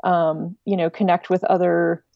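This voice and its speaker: A woman's voice: lowish voice, flat delivery, normal speed, no echo, highs cut off. A woman speaking casually and informally as an interview guest, with a wry manner. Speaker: interview guest, director of an online music archive.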